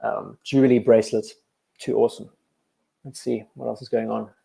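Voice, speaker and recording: A young man with a Cape Town South African accent, hosting a casual livestream and chatting in a relaxed, enthusiastic way, thinking aloud as he goes. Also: on the low side, moderate pace, vocal fry. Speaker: livestream host.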